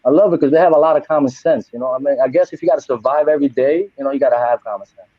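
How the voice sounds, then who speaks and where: A man's voice: medium pitch, very fast, no room sound. A man in his forties chatting casually on a podcast, loose and heartfelt, peppering his talk with "you know". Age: 40s. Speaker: podcast co-host and trip volunteer. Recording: dry, tinny phone-like sound.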